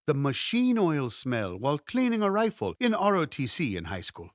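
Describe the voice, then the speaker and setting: A man reading a memoir aloud as a scripted narration, savoring short descriptive phrases with deliberate, expressive delivery. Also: medium pitch, no echo, studio quality. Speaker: audiobook reader.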